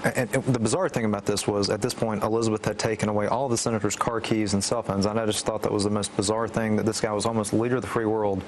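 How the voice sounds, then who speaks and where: Halting male interview guest, faint noise. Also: on the low side, level tone. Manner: halting, stammering delivery. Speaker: interview guest, former political aide. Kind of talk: recounting personal story in interview.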